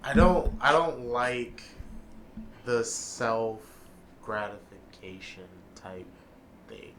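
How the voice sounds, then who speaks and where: Young male co-host, slow and halting, relaxed casual talk. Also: medium pitch, slight background noise. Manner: halting and hesitant, many fillers and restarts. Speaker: podcast co-host and video editor. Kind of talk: casual chat.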